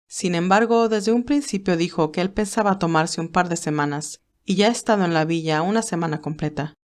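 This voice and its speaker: A woman voicing a loyal, discreet male assistant in an audiobook, with formal, polite, measured speech. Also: on the low side, a little mellow, lightly acted. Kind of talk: audiobook character dialogue.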